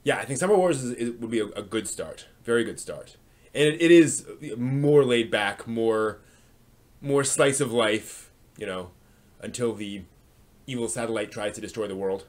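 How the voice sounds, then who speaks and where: A man's voice: mid-range voice, normal speed. A man hosting a live stream, talking casually and unscripted to viewers, often thinking aloud in a hesitant, rambling way.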